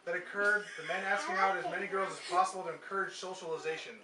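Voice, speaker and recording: Male amateur performer, family puppet show, live and far off, with clear noise around him. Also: sits high, fairly even intonation, fast. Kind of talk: amateur scripted skit performance. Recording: distant, echoey, noisy home video of live show.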